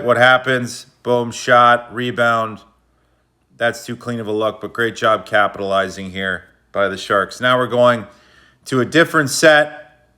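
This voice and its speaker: A man narrates hockey replays in a relaxed, slangy, coach-like way. His voice sits at an ordinary pitch but comes across bright and forceful. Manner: loose, slangy, coach-like explaining. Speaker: hockey video analyst. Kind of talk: casual play-by-play breakdown of game footage.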